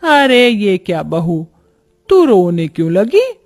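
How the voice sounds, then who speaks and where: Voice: medium pitch, very animated, slow, soft background music. A man voicing a middle-aged mother-in-law in a family cartoon, bossy but caring, giving familiar instructions.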